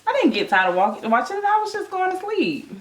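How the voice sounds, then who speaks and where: A woman's voice: extremely high pitch, sing-song, fast talker, slight background noise. An American woman in her thirties chatting casually as a vlog co-host, thinking aloud in a colloquial, slightly hesitant way. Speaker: vlog co-host, movie reviewer. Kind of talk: casual conversational review. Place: small indoor room with bare wall. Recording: echoey untreated room.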